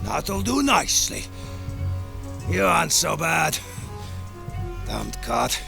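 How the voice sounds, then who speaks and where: An actor playing a boisterous, bawdy travelling Viking smith who is blunt and coarse, in a video game cutscene. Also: male voice, on the high side, sing-song, slow pace, vocal fry, over music, full character voice. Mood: grumbling. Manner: blunt, coarse, sings lustily.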